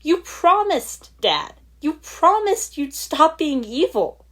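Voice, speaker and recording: A woman's voice: high voice, very animated, slow, a bit bright, pitched above the performer's own voice, full character voice. Woman voicing an angry, biting teenage ninja boy. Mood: furious, hurt. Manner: biting, snapping. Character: angry hurt teenage boy ninja, accusing his father. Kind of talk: fan fiction audiobook dialogue.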